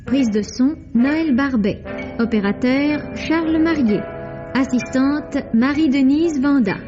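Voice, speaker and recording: A woman's voice: sits high, takes it slowly, music in the background. A woman announcing on mid-century French radio, reading formal scripted credits with composed enunciation. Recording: muffled vintage radio broadcast.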